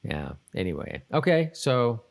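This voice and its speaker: A man's voice: mid-range voice, big pitch swings, a little slow, vocal fry. A man co-hosting a podcast in casual chat, talking in a rambling, self-interrupting way. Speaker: podcast co-host. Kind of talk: casual chat reviewing a TV episode.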